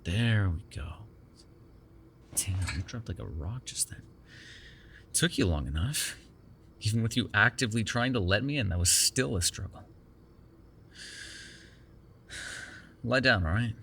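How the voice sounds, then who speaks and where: A man's voice: fairly low pitch, lively intonation, slow pace, gravelly, pauses often, fairly bright tone, slightly rough, full character voice. A man playing a sardonic, prickly but secretly caring vampire in an ASMR roleplay, with a teasing manner. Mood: soothing, hypnotic. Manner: teasing, sarcastic, breaking into exasperation and soothing murmurs.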